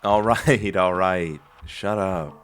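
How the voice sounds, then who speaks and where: An actor playing a dry, curt spaceship crewman in a game dub, terse and deadpan. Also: deep voice, slow pace, soft background music. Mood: dry, brusque. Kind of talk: game fandub voice acting.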